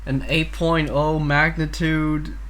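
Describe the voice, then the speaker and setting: A man's voice: a little high, level tone, slow pace, a bit bright. A man co-hosting a podcast, chatting casually and teasing his friends with jokes. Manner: joking, teasing. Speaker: podcast co-host.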